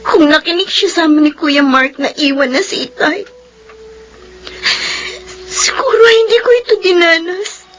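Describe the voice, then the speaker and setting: A woman's voice: very high voice, normal speed, long pauses, a bit bright, muffled recording, a little hiss or hum. A woman playing a hurt, resentful, tearful teenage granddaughter in a Filipino radio drama. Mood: tearful resentment.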